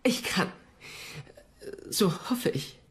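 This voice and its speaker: A man's voice: drawn-out words, fairly bright tone. A man playing an anxious, deferential English navy official in a period docudrama dub, polite and hesitant. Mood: worried, deferential. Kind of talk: dubbed period docudrama acting.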